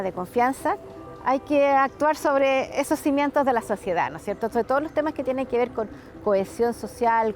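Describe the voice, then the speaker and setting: A woman's voice: fairly high pitch, darkish tone. A woman explaining her view in an interview, hesitant and often adding tag questions. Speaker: expert interviewee.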